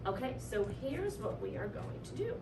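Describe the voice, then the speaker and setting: A woman reading a children's story aloud in an expressive, animated way, drawing out key words for effect. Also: on the high side, normal speed. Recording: roomy, distant mic, clearly noisy.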